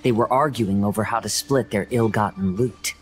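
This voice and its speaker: A young man voicing a gentle, composed wandering swordsman in an anime game, soft-spoken and poetic, like a storyteller. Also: lowish voice, moderate pace, faint music behind, close to an everyday voice. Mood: calm storytelling.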